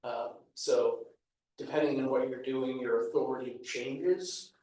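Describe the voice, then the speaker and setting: A man on a town commission explains land use procedure at a measured pace, with plenty of ums and you-knows. He sounds far from the microphone in a very echoey meeting room. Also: mid-range voice, steady tone, warm tone. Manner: measured, explanatory, many fillers. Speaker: commission member. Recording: echoey room, distant mic.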